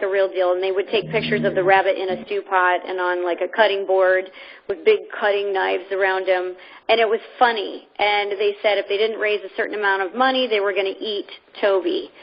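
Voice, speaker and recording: Muffled woman hosting a conference call. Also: medium pitch. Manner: conversational, rambling, persuasive. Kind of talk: informal motivational pitch. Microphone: telephone. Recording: muffled, band-limited conference phone line.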